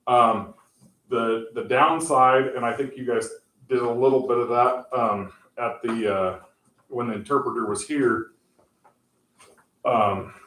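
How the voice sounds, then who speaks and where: A man's voice: mid-range voice, fairly even intonation. Guy at a town council meeting, lots of ums and restarts, roomy echo, mic kinda far off. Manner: halting, many fillers and restarts; says he has a loud voice. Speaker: tech presenter at council meeting. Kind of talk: explaining options to a council. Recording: echoey room, far from mic.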